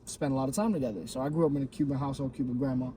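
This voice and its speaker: A young man from Miami, a comedian guest in casual podcast chat, telling stories in a lively, swearing way. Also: medium pitch, very fast, crowd noise. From Miami, Florida. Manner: animated, swearing storyteller.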